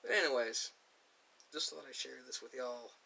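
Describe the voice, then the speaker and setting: A man speaking casually and unscripted to viewers, giving his personal opinions in emphatic, halting, short phrases. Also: mid-range voice, gravelly, pushed and bright, husky. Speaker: video creator giving personal thoughts. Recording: distant mic with faint hiss.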